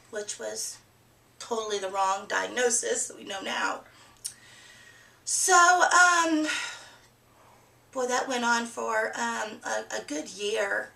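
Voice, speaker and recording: A middle-aged woman talks openly to her webcam, her voice hoarse from a cold and gravelly with fry. Her tone is bright and a little high. The small room adds a roomy echo, and the mic sits a bit away from her. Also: recorded from a distance. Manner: chatty, candid, laughs easily. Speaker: vlogger. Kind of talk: casual personal vlog. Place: small room with a plain green wall.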